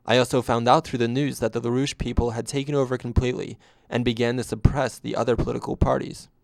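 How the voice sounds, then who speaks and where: A man narrating a scripted first-person story in a deadpan way. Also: medium pitch, fast talker, gravelly, no echo.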